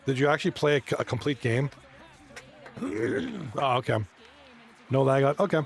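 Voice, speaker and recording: A man with a hoarse, fading voice doing casual play-by-play commentary on a live stream, chatting with viewers between plays. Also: mid-range voice, animated, normal speed. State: hoarse, losing his voice. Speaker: esports league commentator.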